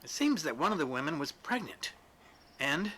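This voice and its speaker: A man's voice: a little high, sing-song, moderate pace, sharp tone, slight background noise, pitched above the performer's own voice. A man reading a storybook role as an earnest, tactful woman who speaks politely and carefully. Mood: hesitant, amazed. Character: earnest, tactful woman, gently amazed and hesitant. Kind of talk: storybook character dialogue.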